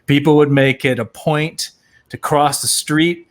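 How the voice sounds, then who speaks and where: A man's voice: fairly high pitch, steady tone, measured pace. A middle-aged man on a discussion panel, an outspoken storyteller who acts out the voices of the people he quotes. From USA. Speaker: actor and panel guest.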